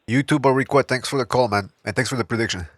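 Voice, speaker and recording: A man's voice: mid-range voice, fast, dry recording. Man, podcast host, laid-back, joking, dry close sound, typing behind. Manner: laid-back, laughing, reads chat aloud. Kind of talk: casual call-in show hosting.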